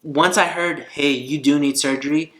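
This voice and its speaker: A man's voice: a little high, steady tone, normal speed, fairly bright tone. Man telling a casual story, roomy echo. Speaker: vlogger telling a personal story. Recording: roomy echo, faint guitar music behind.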